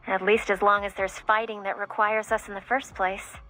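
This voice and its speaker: A woman's voice: medium pitch, level tone, moderate pace, bright, forceful tone, faint music behind, close to an everyday voice, low-quality recording. A woman playing an easygoing, slightly skeptical female colleague in a video game conversation. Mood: thoughtful.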